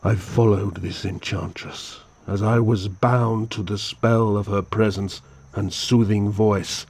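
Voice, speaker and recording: A man playing a courteous but menacing vampire count, narrating his tale and speaking slowly, formally and calmly. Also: fairly low pitch, husky, full character voice. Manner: slow, formal, calm and politely threatening. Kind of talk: first-person storytelling and audio drama.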